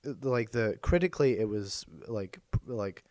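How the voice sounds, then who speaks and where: A man co-hosting a casual chat podcast, hoarse and losing his voice from being sick, talking in a meandering, hesitant way that often corrects itself. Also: fairly low pitch, lively intonation, normal speed, a little mellow, dry recording. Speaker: podcast co-host.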